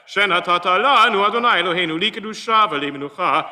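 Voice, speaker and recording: Bright, rapid man chanting a blessing. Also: sits high, expressive, in a large hall, distant mic. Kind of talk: liturgical chanting.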